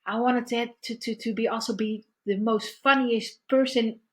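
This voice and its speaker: A middle-aged woman, a guest therapist, telling her personal story in conversation, speaking haltingly and repeating words as she searches for her thoughts. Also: a little high, fairly even intonation.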